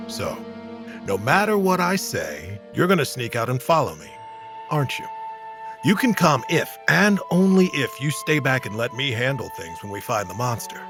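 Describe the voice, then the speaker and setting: A man playing a stern but compassionate knight with a gravelly, husky voice in a fantasy audio drama. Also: medium pitch, very animated, moderate pace, over music. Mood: firm.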